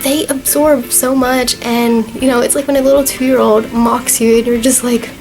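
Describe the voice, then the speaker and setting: Slightly hoarse young woman, chatty. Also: fairly high pitch, little pitch movement, moderate pace, darkish tone, faint music behind, rough recording. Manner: chatty and earnest, with frequent fillers. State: slightly hoarse, losing her voice. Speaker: vlogger. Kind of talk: casual talk to camera.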